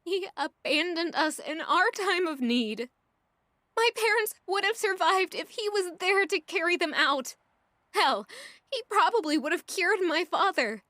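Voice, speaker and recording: A woman's voice: extremely high pitch, fairly bright tone, no room sound, clean studio sound, exaggerated voice. A woman playing an earnest, wounded young noblewoman with an emotive delivery in an audio drama.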